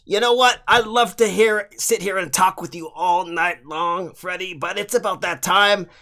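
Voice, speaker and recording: A man playing a hyped late-night talk show host in an improvised skit, excitable and tripping over his words. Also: high-pitched, normal speed. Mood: upbeat. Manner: excitable, stumbling over words, hyping the crowd.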